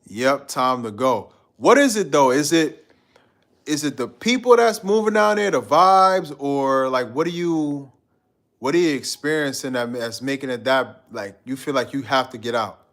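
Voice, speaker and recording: A man's voice: mid-range voice, animated, normal speed, a bit bright. A man from Guyana hosting a live stream, chatting loosely with his viewers in a slangy, rambling way and reading out their comments. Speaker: livestream host.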